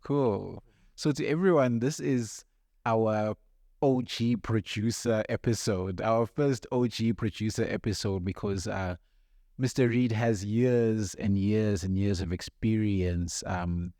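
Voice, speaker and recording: A man's voice: on the low side, mellow, no echo, studio quality. A man hosting a podcast, talking casually as he interviews a guest. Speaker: podcast host.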